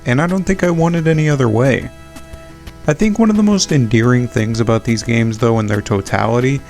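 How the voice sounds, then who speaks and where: A man's voice: mid-range voice, a little mellow. A man giving casual, sweary, opinionated fan commentary as the narrator of a retrospective video.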